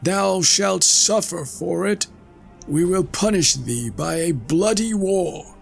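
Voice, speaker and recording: A man's voice: takes it slowly, creaky, faint music behind, heavily acted. A man doing a stern little bird king. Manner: stern, commanding, high pitched. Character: proud old male wren king.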